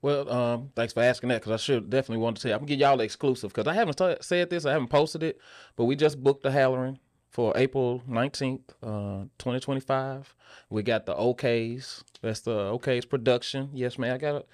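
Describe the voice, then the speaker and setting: A man chatting casually as a podcast guest, telling stories in an animated way and repeating phrases for emphasis. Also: medium pitch, brisk, no room sound, clean studio sound. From Memphis, USA. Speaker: podcast guest, actor and stage playwright. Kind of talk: casual conversational interview talk.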